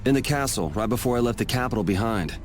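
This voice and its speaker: A man's voice: mid-range voice, lively intonation, fast talker, faint music behind, lightly acted. A man playing a wry, laid-back swordsman. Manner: wry, dry-humored.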